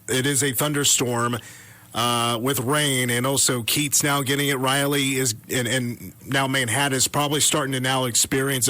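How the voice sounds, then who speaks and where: A man co-hosting live radio weather coverage, commenting off the cuff on storms and thinking aloud with halting self-corrections. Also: medium pitch, sharp tone.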